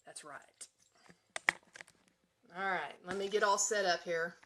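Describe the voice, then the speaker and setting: A woman with a Southern US accent hosting a live show from home, chatting to listeners in a playful, folksy, expressive way. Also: on the low side, slow pace, noisy background. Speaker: radio show host. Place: at home in an apartment.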